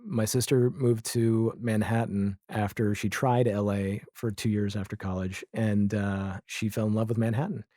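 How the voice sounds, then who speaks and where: A man speaks in a low, dark-toned voice, recorded close and dry. He chats casually as an interview guest, rambling in an animated, self-deprecating way. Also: moderate pace, hardly any pauses, no room sound. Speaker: podcast guest, audio drama creator. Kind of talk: casual podcast interview chat.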